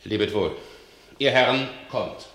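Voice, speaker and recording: A proud, hot-blooded warrior lord in a classical radio drama. He declaims with bright, forceful indignation and open defiance. His voice rings in an echoing room. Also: mid-range voice, a little slow. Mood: bitter defiance. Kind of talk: classical German stage verse radio drama.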